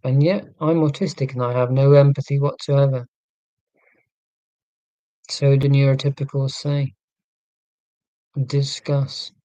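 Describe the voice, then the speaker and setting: A middle-aged man with a neutral southern English accent, talking slowly and deliberately in a casual, reflective monologue. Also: mid-range voice, level tone, dark tone, no echo, low-quality recording. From Scotland, raised in England. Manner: slow, deliberate speech with pauses. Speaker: vlogger.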